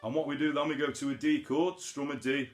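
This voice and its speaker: A man's voice: a little high, fairly even intonation, a little fast. A man giving a casual, chatty guitar lesson, explaining things informally step by step. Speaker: guitar teacher, host of an online lesson series. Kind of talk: casual spoken guitar tutorial. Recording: roomy sound, guitar behind the voice. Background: acoustic guitar.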